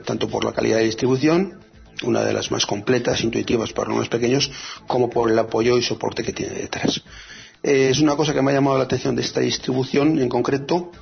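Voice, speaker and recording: A man with a gravelly, husky voice talks casually on a radio show about free software. He hesitates often, filling gaps and restarting his sentences. The recording is dull and lo-fi. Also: medium pitch. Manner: hesitant, many fillers and restarts.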